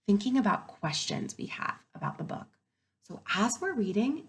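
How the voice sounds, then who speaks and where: Animated woman teacher reading to young children. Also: a little high. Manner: expressive, animated, pauses to wonder aloud. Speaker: kindergarten teacher. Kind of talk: picture book read-aloud for young children with think-aloud comments.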